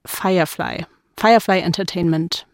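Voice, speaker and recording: A woman's voice: lowish voice, moderate pace, dry recording. A woman researcher on a podcast, chatting casually as she goes through findings.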